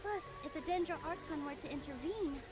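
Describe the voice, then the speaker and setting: A woman voicing a gentle, earnest young woman in an animated game, with a hesitant, sincere way of talking. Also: high voice, fairly quick, over music, natural voice. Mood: flustered, unsure.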